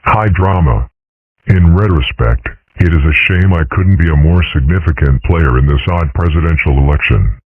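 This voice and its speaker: A man's voice: extremely low pitch, moderate pace, no echo, narrow, tinny audio. A man voicing a grandiose, melancholy dragon father in a pompous, theatrical comic dub. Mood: mournful, grandiose. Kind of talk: comic dub character acting.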